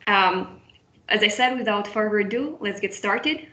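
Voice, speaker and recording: A woman's voice: medium pitch, measured pace, pushed and bright. A young woman from Moldova moderating an online student webinar, friendly, often restarting and repeating phrases. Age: young adult. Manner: friendly, with frequent restarts and repetitions. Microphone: video call. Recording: roomy, dull video-call audio.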